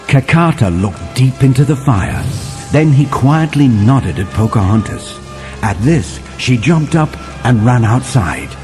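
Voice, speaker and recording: Settler narrator for kids' read-along: very animated, vivid, dramatic pauses, clearly audible music underneath. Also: male voice, medium pitch. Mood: storytelling. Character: male settler storyteller recounting a winter adventure to John. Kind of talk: dramatic children's story narration.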